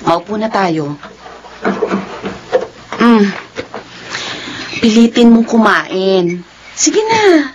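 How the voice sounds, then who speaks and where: A loyal young best friend in a radio love drama. Her fairly high voice swings widely, chatty, coaxing and easily indignant. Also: very slow, long pauses, highs cut off, noisy background, exaggerated voice. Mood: coaxing, concerned. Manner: animated, coaxing, exclamatory. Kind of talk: Filipino radio drama acting.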